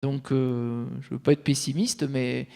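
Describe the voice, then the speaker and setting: A middle-aged man answering a question on a conference panel, explaining in a measured way with frequent hesitations. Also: mid-range voice, level tone, gravelly, mellow. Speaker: panel speaker.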